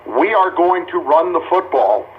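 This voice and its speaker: Through a small radio speaker, dull and very noisy, an American man delivers sports talk commentary. His voice sits a little high. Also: expressive, moderate pace. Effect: heard through a small radio speaker.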